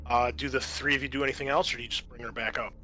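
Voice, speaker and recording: A man narrating as game master in a tabletop roleplaying game, halting as he thinks aloud through his rulings. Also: medium pitch, fairly even intonation, sharp tone, slight background noise.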